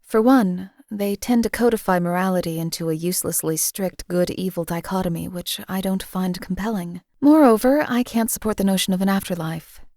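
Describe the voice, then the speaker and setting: A woman's voice: mid-range voice, fairly quick, hardly any pauses, no room sound, clean studio sound, close to an everyday voice. A woman playing a cold emotionless assassin. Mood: lecturing. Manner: flat, cold, clipped and detached. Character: cold-blooded female assassin companion, emotionless. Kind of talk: game companion voice lines.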